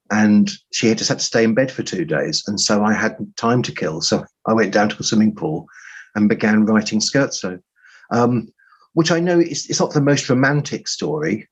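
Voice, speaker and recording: Rambling man, slightly low husky voice. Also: lo-fi sound. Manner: rambling, halting, self-deprecating humour. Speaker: guest writer. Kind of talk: conversational interview storytelling.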